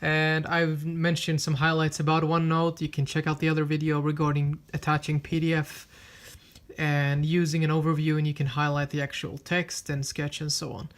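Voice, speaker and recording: A man whose first language is Swedish, reviewing a tablet in a casual, unhurried way with frequent fillers and restarts while demonstrating it. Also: fairly high pitch, monotone. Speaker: tech reviewer. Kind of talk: casual product review with live demo.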